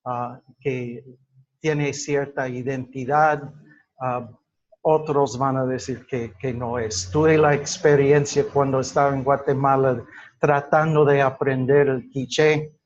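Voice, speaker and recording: A man whose first language is English, giving a classroom lecture in Spanish, halting with many fillers and restarts. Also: mid-range voice, lo-fi sound. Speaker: teacher.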